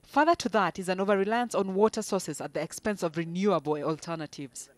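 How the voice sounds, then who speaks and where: Measured, lower-pitched woman reporter narrating. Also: no room sound. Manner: measured, formal delivery. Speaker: news reporter. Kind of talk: scripted news narration.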